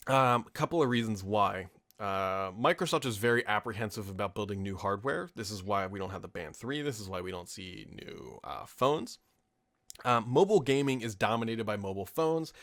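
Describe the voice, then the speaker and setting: A man's voice: mid-range voice, a bit bright. American man hosting a tech podcast. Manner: informal, opinionated, rambling with self-corrections. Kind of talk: casual off-the-cuff commentary answering listener questions.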